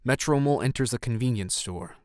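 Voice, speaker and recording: A man's voice: mid-range voice, animated, brisk, darkish tone, no echo, studio quality, lightly acted. A man narrating an audio drama, reading scripted scene action in the present tense.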